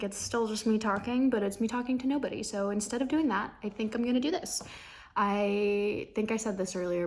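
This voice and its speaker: A woman's voice: a little high, darkish tone. A young adult woman talking casually to camera in a personal vlog, rambling and confiding, with thoughts that trail off. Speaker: vlogger.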